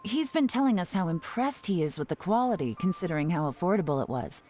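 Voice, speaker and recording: A woman playing a spymaster in a video game, calm and cool, in full command. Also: fairly low pitch, quick pace, close to an everyday voice. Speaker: spymaster leading an investigation. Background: game music.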